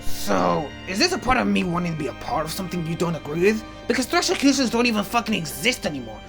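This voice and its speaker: Casting: woman as young male alien for comic dub, low-pitched, swinging widely, sarcastic and verbose, music underneath. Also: very animated, fast talker, creaky. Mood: defensive. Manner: indignant, sarcastic, verbose, sweary. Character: ranting, foul-mouthed young male alien with florid insults. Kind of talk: comic fan dub voice acting. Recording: music behind the voice.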